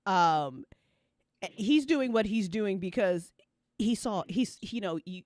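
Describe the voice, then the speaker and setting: Woman, lower register, dry close sound, loose slangy storyteller who swears freely as she talks through her own work. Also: no room sound. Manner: animated, profane, slangy storytelling. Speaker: writer and creator of an audio drama, discussing it. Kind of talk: casual after-show chat.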